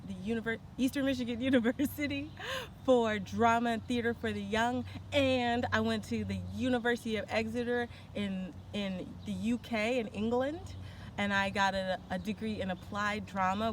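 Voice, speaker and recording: Higher-pitched woman storyteller, noisy background. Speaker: children's storyteller and educator. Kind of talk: animated read-aloud storytelling and casual introduction. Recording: clear ambient background noise.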